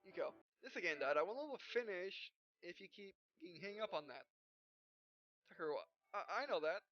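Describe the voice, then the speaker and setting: A woman doing let's play commentary, reading game dialogue aloud in a halting way and often correcting herself. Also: fairly low pitch, expressive. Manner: halting, stumbling read-aloud with frequent self-corrections. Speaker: gaming video host. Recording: dull, muffled lo-fi microphone. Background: game music.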